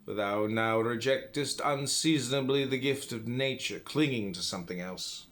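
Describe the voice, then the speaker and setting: A man's voice: medium pitch, normal speed, fairly bright tone. An American man reading classical philosophy aloud as an audiobook, in a solemn, emphatic, declamatory manner. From United States. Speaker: audiobook reader.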